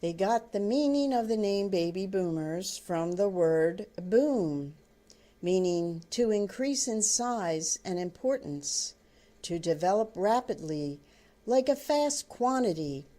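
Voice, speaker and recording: An older woman reading her own book aloud as storybook narration, in deliberate, halting phrases. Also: medium pitch, animated, mellow.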